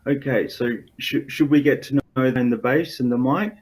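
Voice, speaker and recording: A man's voice: mid-range voice, fairly even intonation, normal speed, darkish tone, breathy edge. An Australian man interviewing a musician in a casual chat, hesitant with many ums. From Australia, Perth.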